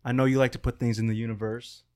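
A man's voice: mid-range voice, level tone, fast talker, a little mellow, clean tone. A man in his early thirties hosting a podcast, laid-back and slangy, teasing his guest. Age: early 30s. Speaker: podcast host. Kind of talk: casual chat.

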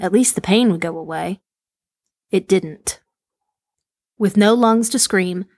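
A woman reading a short horror story aloud in steady, measured narration. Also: mid-range voice, no echo, clean studio sound. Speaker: story reader.

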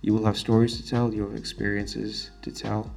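A young man in his late teens from Singapore speaks with an American-sounding accent in a low, somewhat gravelly voice. He hosts a solo podcast earnestly, stressing and repeating his main points. Faint music plays underneath. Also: little pitch movement, a little fast, a little mellow. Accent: American-sounding, not Singaporean. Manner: earnest, emphatic, repeats key phrases. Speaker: student podcast host.

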